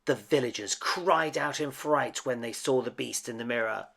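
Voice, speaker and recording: A man's voice: mid-range voice, normal speed, gravelly, a bit bright. A man reading a children's picture book aloud as a dramatic storyteller. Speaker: storybook reader.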